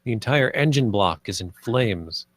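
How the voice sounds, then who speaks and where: A man's voice: on the low side, lively intonation, normal speed, fairly bright tone, no room sound. A man reading stage directions as narrator at a screenplay table read.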